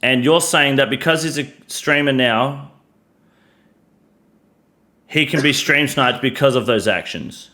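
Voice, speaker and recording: A man's voice: medium pitch, a little slow, pauses often, bright, forceful tone. An Australian man hosting a casual interview, slightly drunk, hesitant and full of restarts. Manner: hesitant, many restarts and fillers, careful. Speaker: streamer host.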